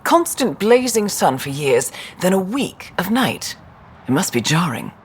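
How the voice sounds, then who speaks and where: An actress playing a stranded field scientist in a video game, with a wry, expressive delivery. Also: female voice, lowish voice, a little slow, slight background noise. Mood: keen, explanatory. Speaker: scientist character.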